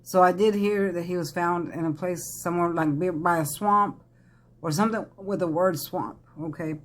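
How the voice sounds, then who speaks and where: A raspy-voiced woman, chatting casually to viewers as a tarot reader, congested from a head cold. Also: on the low side, moderate pace. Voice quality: raspy, crackly voice. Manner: chatty, addresses viewers as y'all. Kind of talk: casual psychic reading vlog.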